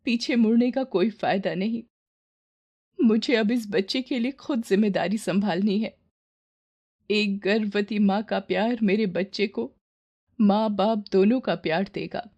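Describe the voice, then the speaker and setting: A woman voicing a distressed, self-sacrificing poor young mother in an animated moral story, pleading and emotional. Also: medium pitch, a little slow, lightly acted. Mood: troubled, resolute.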